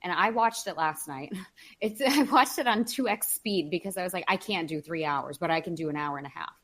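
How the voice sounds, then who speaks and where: A woman's voice: lowish voice, fairly quick, fairly bright tone. Earnest woman hosting a podcast, from Ukraine. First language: Russian. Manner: earnest, opinionated storytelling. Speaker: podcast host. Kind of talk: casual podcast conversation.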